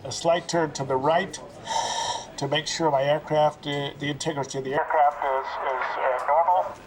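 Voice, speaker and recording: A man's voice: a little high, fairly even intonation, moderate pace, heavy background noise, natural voice. A man putting on an American accent to play a pilot declaring an emergency over the radio. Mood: urgent. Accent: put-on American. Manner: radio procedure calls with hesitant fillers.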